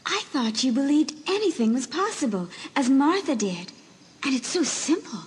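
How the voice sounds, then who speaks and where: Brief: a woman playing a teen girl's ghost, hushed, coaxing, breathy edges, high voice. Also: big pitch swings, normal speed. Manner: gentle pleading. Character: faint ghostly teenage girl spirit in a mirror.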